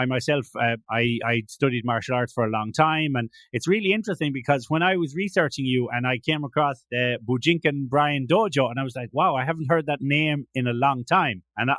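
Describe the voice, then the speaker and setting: A man's voice: mid-range voice, a bit bright, no room sound. A man with an Irish accent hosting a casual interview, rambling with many restarts and fillers. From Ireland. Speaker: podcast host.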